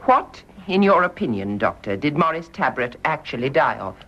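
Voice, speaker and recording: Intense British nurse, widely swinging pitch. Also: female voice, sing-song, moderate pace, a bit bright, full character voice. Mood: grave, defiant. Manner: clipped, formal, emphatic. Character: grave, stubborn, wounded nurse making an accusation. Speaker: actress in a radio drama. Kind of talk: radio drama acting. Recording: dull, muffled radio drama recording.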